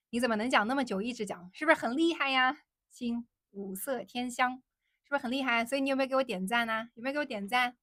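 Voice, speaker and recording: Young woman, higher voice, dry close sound; chatty livestream host who echoes viewer questions back. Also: a bit bright. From China. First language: Chinese. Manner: chatty, repeats questions aloud, switches between English and Mandarin. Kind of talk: casual livestream chat reading viewer comments.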